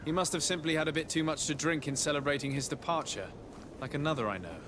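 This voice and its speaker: A man's voice: fairly high pitch, fast, noisy background, close to an everyday voice. A man playing a polite, composed young nobleman in a video game, speaking formally. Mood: lightly wry. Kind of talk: video game dialogue acting.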